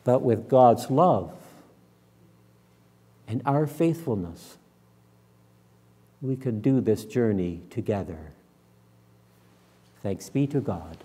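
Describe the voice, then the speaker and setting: A man in his sixties, a minister preaching and praying in a measured, solemn, deliberate manner. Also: medium pitch, animated, warm tone, breathy edge. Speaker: minister leading worship. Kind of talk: sermon and public prayer. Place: church sanctuary, at the front and pulpit. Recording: reverberant church hall.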